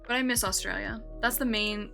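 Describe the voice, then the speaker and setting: Bright, chatty young woman streamer. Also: medium pitch, steady tone, a little fast, creaky, faint music behind. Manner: rambling, chatty, self-correcting with many fillers. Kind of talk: casual chat while playing a building game.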